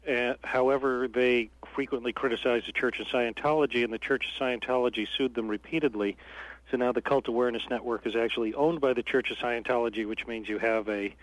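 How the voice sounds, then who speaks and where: A man's voice: medium pitch, steady tone, fairly quick, dry recording. A man calling in to a radio show, telling wry stories in a chatty way over a phone line. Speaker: caller. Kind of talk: phone-in storytelling. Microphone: telephone.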